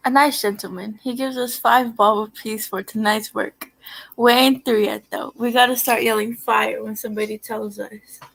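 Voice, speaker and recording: A woman's voice: fairly high pitch, steady tone, normal speed, vocal fry, fairly bright tone, rough recording. A woman playing a brash working-class street woman in old-style radio drama, scrappy and colloquial. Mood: chatty. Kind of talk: 1940s radio drama acting.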